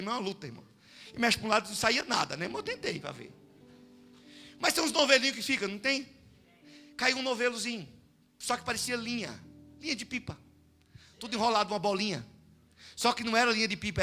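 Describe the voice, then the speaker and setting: A Brazilian man preaching in church, his voice sitting very high and sounding sharp and forceful. He shifts between loud exhortation and quiet, conversational storytelling to the congregation, with soft music underneath. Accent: Brazilian Portuguese. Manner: animated, swings from shouting to hushed storytelling. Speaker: pastor. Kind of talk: sermon with storytelling. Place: church service.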